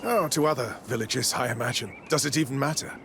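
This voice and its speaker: An actor playing a zealous, smooth-talking priest in a video game, formal, preachy and condescending. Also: male voice, mid-range voice, a little fast, fairly bright tone, slight background noise. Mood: smugly patient. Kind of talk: scripted video game dialogue.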